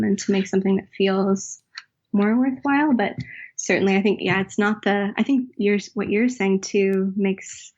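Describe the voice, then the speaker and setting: Thoughtful woman with a gravelly edge. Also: medium pitch, highs cut off. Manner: thoughtful, self-correcting, with soft laughs. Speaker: writer and professor, interview guest. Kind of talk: reflective interview conversation.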